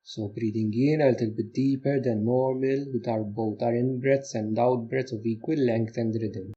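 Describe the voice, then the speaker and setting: Husky, mellow man guiding meditation, muffled recording. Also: mid-range voice, steady tone. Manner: gentle instructional delivery with short phrases and pauses. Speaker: meditation teacher. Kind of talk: guided meditation.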